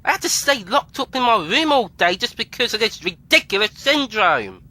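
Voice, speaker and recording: A man playing a timid young man who is the son of a commodore, speaking hesitantly and softly, then eagerly. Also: extremely high pitch, very animated, moderate pace, heavily acted. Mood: apologetic. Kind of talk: fan audio drama acting.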